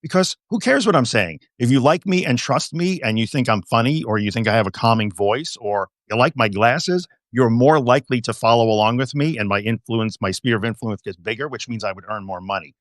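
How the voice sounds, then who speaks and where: A man's voice: medium pitch, brisk, hardly any pauses, dry recording. Frank, emphatic man in a dry room. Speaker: therapist and guest. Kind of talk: conversational interview.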